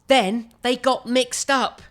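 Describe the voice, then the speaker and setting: A woman's voice: on the high side, fairly even intonation, slow, a bit bright. A woman storyteller reading a children's storybook expressively, with theatrical, emphatic phrasing.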